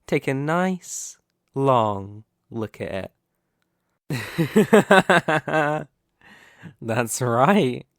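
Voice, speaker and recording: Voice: mid-range voice, no room sound, studio quality. A performer playing a smug, possessive crime boss in an audio roleplay, speaking with mocking, theatrical menace. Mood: cool control. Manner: mocking, theatrical, slow deliberate menace with sudden swells. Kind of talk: ASMR audio roleplay, dramatic monologue.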